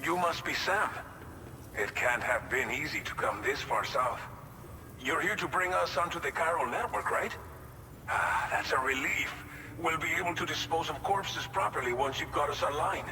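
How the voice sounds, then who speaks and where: An actor playing an earnest, polite settlement representative in a video game, speaking conversationally to a visitor. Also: male voice, a little high, lively intonation, normal speed, background noise. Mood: relieved, welcoming. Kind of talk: video game cutscene dialogue. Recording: distant, long reverb, music behind.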